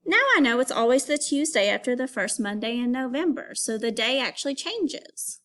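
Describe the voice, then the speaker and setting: A woman teacher reading aloud to young pupils and explaining as she goes, in an instructive, clearly phrased way. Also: on the high side, fairly bright tone. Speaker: elementary school teacher. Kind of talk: read-aloud reading lesson for children.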